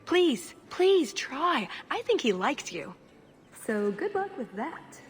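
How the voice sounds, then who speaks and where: A woman's voice: high-pitched, sing-song, takes it slowly, exaggerated voice. A woman playing a wry, determined young female elf in a video game, speaking in a dry, earnest way. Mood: wry teasing. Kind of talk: video game dialogue acting.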